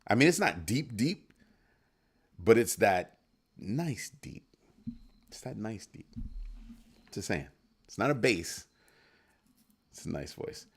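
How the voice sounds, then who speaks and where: Male livestream host, low voice, animated and joking with his chat. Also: takes it slowly, long pauses, slightly rough. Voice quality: deep voice. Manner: animated, playful, reacting to chat comments. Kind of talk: casual livestream chat with viewers.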